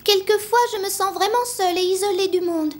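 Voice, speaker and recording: A woman voicing a gentle, dreamy and brave orphaned schoolgirl in a French cartoon dub, speaking with earnest sincerity. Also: very high-pitched, fairly even intonation, a little fast. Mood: tender, wistful.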